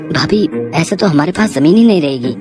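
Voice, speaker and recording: A woman's voice: sits low, lively intonation, fairly quick, over music, pitched below the performer's own voice. A woman voicing a simple, trusting younger brother, a village farmer in a moral story, hesitant and deferential. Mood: worried.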